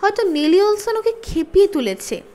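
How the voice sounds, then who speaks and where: A woman's voice: very high-pitched, big pitch swings, moderate pace, warm tone, pitched above the performer's own voice. A woman voicing an earnest, principled schoolgirl in a children's storybook reading, sincere and pleading. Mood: defensive.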